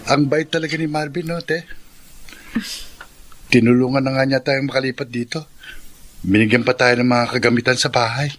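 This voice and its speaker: An actor playing a sweet, sickly younger brother, a young man with a husky voice, in a Filipino radio drama. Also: mid-range voice, steady tone, moderate pace, long pauses, fairly bright tone, natural voice. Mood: warm, teasing.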